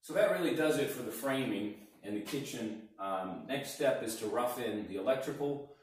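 A middle-aged man giving a plain, relaxed walkthrough of his renovation work, filmed on a camera. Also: medium pitch, moderate pace, far from the mic, slight background noise. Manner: plain, relaxed explaining with fillers. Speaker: home renovator. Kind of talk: casual walkthrough narration. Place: gutted house under renovation, indoors. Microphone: camera mic, selfie at arm's length and far camera. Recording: echoey bare room, sometimes off-mic.

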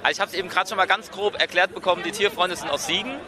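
A man's voice: sits high, racing, background noise. A man doing a casual street interview as a podcast host, recorded outdoors on a handheld microphone. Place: outdoors in a busy city street. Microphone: handheld field microphone.

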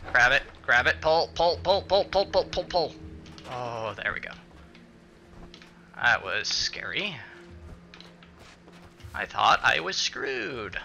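A man's voice: fairly high pitch, big pitch swings, takes it slowly, creaky, long pauses, sharp tone, faint music behind. A man giving casual let's-play commentary, muttering to himself and swearing mildly as he plays. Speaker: gaming video host.

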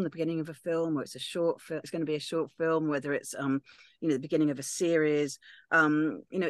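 A woman's voice: low voice, no room sound, studio quality. A woman giving hesitant, thoughtful feedback on a video call from home, with many ums and you knows. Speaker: script reading panelist. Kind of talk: casual video-call discussion. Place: home room.

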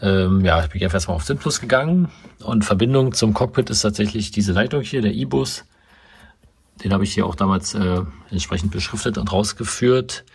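A man's voice: on the low side, brisk, gravelly, husky. A man casually explaining car electronics while working in a workshop, filming from his own point of view. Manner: casual, explanatory, with frequent fillers. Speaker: car mechanic and video host. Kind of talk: hands-on workshop walkthrough. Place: indoor car workshop beside a stripped car.

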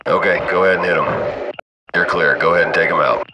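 Man as low-voiced cocky gunship crewman, noisy. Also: a little fast, close to an everyday voice. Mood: cool, focused. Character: laid-back, cocky adult male military gunship crewman. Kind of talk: casual military radio chatter. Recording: distant military radio, loud aircraft cabin noise.

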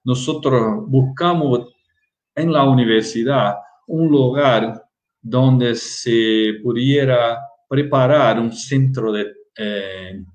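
Slow, halting Brazilian-accented man lecturing. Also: medium pitch, lo-fi sound. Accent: Brazilian Portuguese. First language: Portuguese. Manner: halting, careful, frequent restarts. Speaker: guest lecturer. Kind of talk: online lecture with slides. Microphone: webcam on a video call. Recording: roomy video call audio.

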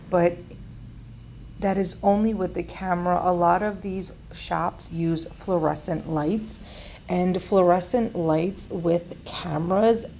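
A woman's voice: on the low side, warm tone, a little hiss or hum. A woman from the United States, a travel vlogger, narrating a walking tour in a chatty, casual way with many fillers.

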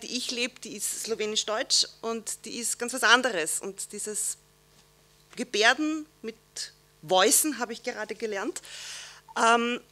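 A woman's voice: on the high side, pushed and bright. A woman giving a welcome speech on a theatre stage, earnest and hunting for words with many fillers. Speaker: guest speaker. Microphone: stand microphone.

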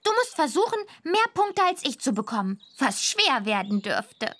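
Cocky, high-voiced teen witch girl. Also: sing-song, normal speed, fairly bright tone. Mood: cocky, challenging. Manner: boastful, teasing, slangy. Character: cocky, teasing punk teenage witch girl. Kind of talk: animated children's game voice acting.

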